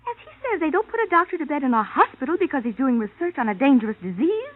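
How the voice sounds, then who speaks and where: A woman's voice: high-pitched, very animated, fast. A woman with an American accent playing a naively devoted, defensive wife in a vintage radio drama, earnest and emotional. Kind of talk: 1950s radio drama acting. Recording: dull, lo-fi old radio recording.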